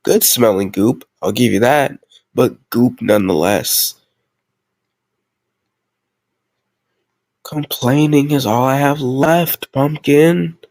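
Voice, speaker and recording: A tough gang leader played by a male actor shows his soft side as a doting boyfriend in an intimate audio roleplay. His mid-pitched voice is close and dry, full of teasing, mock complaints and affectionate pet names. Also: measured pace, no room sound, lightly acted. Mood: mock grumbling.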